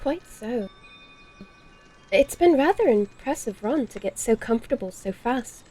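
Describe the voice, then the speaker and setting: Genteel predatory vampiress for horror-comedy audio drama , female actor: high, mellow, coy, very proper. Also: expressive, a little slow. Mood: poised, breezy. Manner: refined, coy, proper phrasing.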